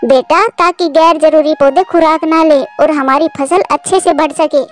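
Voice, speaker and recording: A woman voicing a gentle, hardworking mother bird who speaks patiently in a children's cartoon. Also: sits high, steady tone, normal speed, soft background music, lightly acted. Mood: patient teaching. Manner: patient, instructive. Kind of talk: Hindi children's cartoon voice acting.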